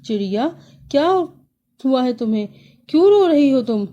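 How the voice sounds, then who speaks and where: A woman's voice: sits high, animated, dark tone, muffled recording. A woman voicing a brave, loyal female bulbul bird who speaks in a firm, indignant way. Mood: concerned.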